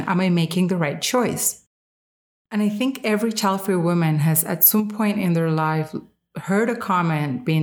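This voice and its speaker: A woman hosting a solo podcast, giving opinionated commentary in an emphatic, candid and casual way. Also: fairly low pitch. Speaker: podcast host.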